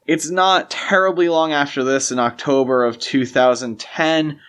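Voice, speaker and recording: A man's voice: a little high, moderate pace, fairly bright tone, clear, resonant tone. A man co-hosting a podcast, discussing films in a conversational, explanatory way. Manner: conversational, explanatory, uses "like" and "you know" often. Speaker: podcast co-host. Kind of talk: casual film discussion podcast.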